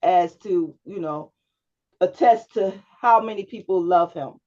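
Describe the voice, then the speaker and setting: A woman's voice: lowish voice, measured pace, dark tone, lo-fi sound. A woman public speaking instructor giving one-on-one feedback to a student over a video call, often checking in with "right?". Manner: coaching, checks understanding with frequent "right?".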